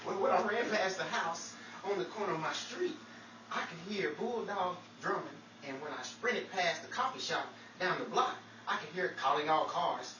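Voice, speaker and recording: Woman playing a low-voiced, flustered male detective. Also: normal speed, a bit bright, lightly acted. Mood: earnest excuse. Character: flustered male 1940s detective making excuses. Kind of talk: comic radio play acting. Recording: roomy, distant, noisy rehearsal room.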